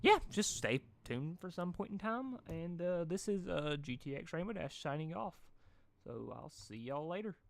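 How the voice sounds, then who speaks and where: A man hosting a fan fiction reading, switching between expressive narration and informal, rambling chat. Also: a little high, moderate pace, gravelly. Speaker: fan fiction reader and video host. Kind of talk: animated story reading and casual chat.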